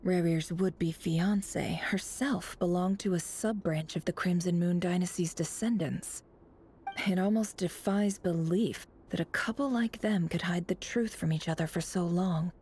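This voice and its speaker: A woman playing a composed, shrewd mind reader in a video game, musing in a measured, self-assured way. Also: lowish voice, a little mellow, breathy edge. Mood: pensive, analytical. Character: composed, shrewd, analytical woman reading others' minds. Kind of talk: video game character monologue.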